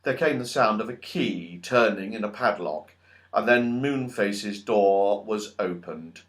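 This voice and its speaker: A man narrating a children's audiobook in an expressive, dramatic and emphatic storytelling style. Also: on the low side, a little slow.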